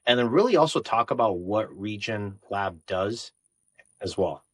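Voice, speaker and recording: A man's voice: on the low side, lively intonation, a little slow, fairly bright tone, slightly rough. A man hosting a podcast interview, introducing his guest and asking questions eagerly, leaning on fillers. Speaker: podcast host.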